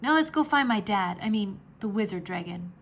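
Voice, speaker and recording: A woman voicing an earnest, wistful, determined young boy wizard in a children's storybook, speaking in a hurried, pleading way. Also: medium pitch, animated. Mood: friendly.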